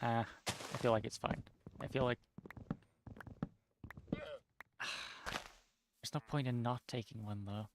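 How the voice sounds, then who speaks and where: A young man with a Norwegian accent, chatting casually while streaming a game, somewhat monotone and mumbling with unclear diction. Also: mid-range voice, slow pace, long pauses, background noise. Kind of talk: casual chat while gaming.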